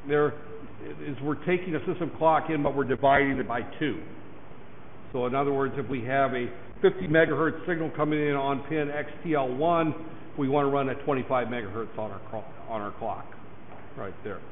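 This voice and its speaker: A hoarse man lecturing a class, rambling and repeating "right there" and "that there". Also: on the high side, steady tone, normal speed. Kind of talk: recorded classroom lecture over slides. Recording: echoey lecture hall, tinny lo-fi mic with faint noise.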